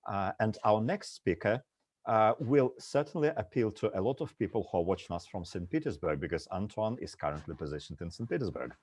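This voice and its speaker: A man's voice: lowish voice, animated, a little fast, darkish tone. A man moderating an online panel discussion over a video call, reading out lists of places with frequent "uh" fillers.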